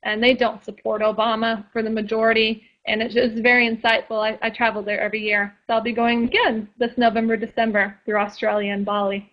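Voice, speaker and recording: Woman, radio interview guest, chatty, lots of fillers, slightly high, dull lo-fi sound. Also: level tone, fairly quick, hardly any pauses.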